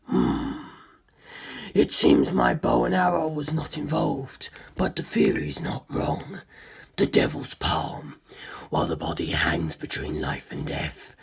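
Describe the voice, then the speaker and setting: A narrator voicing an ancient, arrogant superhuman male villain in an audiobook, lecturing humans with cold contempt in a rasping, breathy growl. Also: extremely low pitch, big pitch swings, takes it slowly, pitched below the performer's own voice, exaggerated voice. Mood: musing, disdainful. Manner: condescending, lecturing, disdainful. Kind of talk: audiobook character dialogue.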